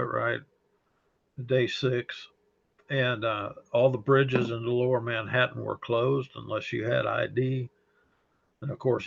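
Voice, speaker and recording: A middle-aged man with a slight Texas accent, a fire service chaplain recounting memories in an interview, unhurried and halting with frequent pauses and fillers. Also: mid-range voice, gravelly, fairly bright tone. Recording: dull lo-fi audio.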